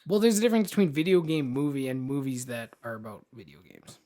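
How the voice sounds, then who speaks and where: A man's voice: mid-range voice, sing-song, fast, mellow. A man co-hosting a podcast, talking casually and emphatically about his opinions in informal language. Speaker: podcast co-host. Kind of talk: casual opinionated film discussion.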